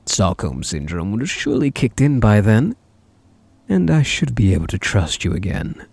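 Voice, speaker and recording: A man playing an obsessive, sweetly menacing stalker groom in an ASMR audio drama, breathy and doting with a threat underneath. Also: lowish voice, sing-song, moderate pace, darkish tone, no echo, heavily acted. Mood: coaxing, controlling. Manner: doting endearments laced with menace. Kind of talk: ASMR roleplay audio drama, addressing the listener.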